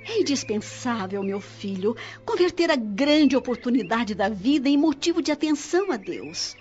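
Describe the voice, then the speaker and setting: A woman's voice: fairly high pitch, sing-song, moderate pace, a little mellow. A woman with a Brazilian accent playing a loving, devout spirit mother in a radio drama, tender and solemn as she exhorts her son. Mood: solemn, exhorting. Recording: slightly dull radio drama with music. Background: music.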